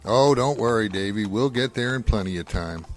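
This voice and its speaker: A man's voice: lowish voice, animated, normal speed, faint music behind, close to an everyday voice. An actor playing an uneasy, plainspoken farmer father with rustic, folksy speech in a radio drama. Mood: urging, reassuring.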